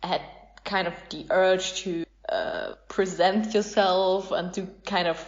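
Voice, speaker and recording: A woman's voice: fairly low pitch, fairly even intonation, takes it slowly, dull audio. A young woman hosting a podcast, interviewing in a casual, agreeable and encouraging way. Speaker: podcast host.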